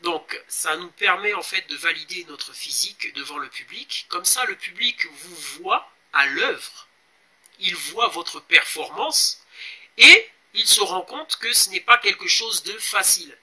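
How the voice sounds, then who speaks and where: A man in his forties from Congo talks through a fitness challenge in a casual vlog. His voice sits high and sounds very bright and forceful. He pauses often, fills gaps with hesitations and keeps urging viewers to take part. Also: lively intonation. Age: 40s. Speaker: fitness vlogger.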